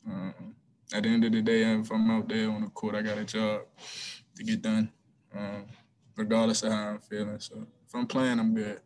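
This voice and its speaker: A young man, a college basketball player, answering press questions after a game over a video call, relaxed and hesitant with many ums and restarts. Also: on the low side, normal speed, a little hiss or hum. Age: young adult. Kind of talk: postgame press conference answers. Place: small room before a team backdrop. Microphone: video call webcam.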